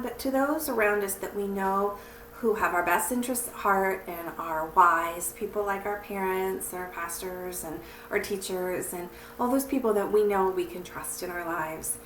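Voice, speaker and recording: A woman's voice: mid-range voice, normal speed, fairly bright tone. Woman talking to children in a roomy space. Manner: simple, encouraging, teacherly explaining. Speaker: children's story reader and church teacher. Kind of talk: read-aloud storytelling and friendly talk to children. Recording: roomy, distant mic with faint noise.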